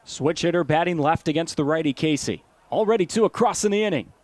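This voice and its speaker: American male baseball announcer, higher-pitched voice. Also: expressive, quick pace, darkish tone, no room sound. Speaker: baseball play-by-play broadcaster. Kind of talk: live baseball commentary.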